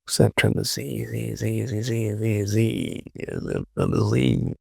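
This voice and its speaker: Male tutorial host, unhurried and croaky, casual self-muttering narration with repeated short phrases. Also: on the low side, huge pitch swings, mellow, no echo, clean studio sound. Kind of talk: casual screen-recorded software tutorial narration.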